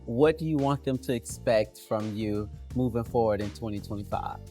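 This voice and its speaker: A man hosting a podcast, asking his guest questions in a measured, earnest way. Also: lowish voice, warm tone, faint music behind. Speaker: podcast host. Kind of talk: interview questions.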